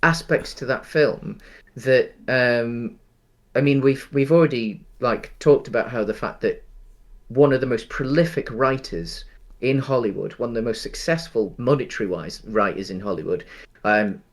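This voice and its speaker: A man co-hosting a casual film discussion, talking in a hesitant, rambling way with halting phrasing. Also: mid-range voice. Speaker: podcast co-host.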